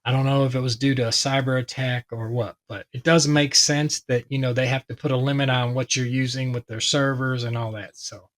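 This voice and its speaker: A man with a hoarse voice talking casually and ramblingly as he explains a tech topic to his viewers, with many fillers. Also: mid-range voice, flat delivery, fairly quick, hardly any pauses, a bit bright. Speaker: tech video host.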